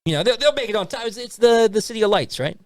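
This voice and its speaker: A man hosting a casual movie-review podcast. His voice sits a little high and swings widely in pitch. He talks in an animated way, tossing questions out and echoing answers back, in a very dry recording. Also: quick pace. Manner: animated, quizzes co-hosts and repeats their answers. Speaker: podcast host.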